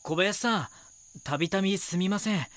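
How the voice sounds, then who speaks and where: An actor playing a young magazine reporter in an audio drama, polite, earnest and inquisitive. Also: male voice, high voice, moderate pace, fairly bright tone. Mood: earnest, pondering. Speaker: magazine reporter and first-person narrator. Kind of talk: Japanese audio drama dialogue and narration.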